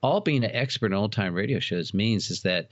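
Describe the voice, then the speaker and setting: A man's voice: on the low side, lively intonation, a little fast, dry recording, highs cut off. A middle-aged man chatting as an interview guest, explaining things like a keen enthusiast telling stories. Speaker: podcast guest, horror attraction and trading card company founder. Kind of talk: casual interview storytelling.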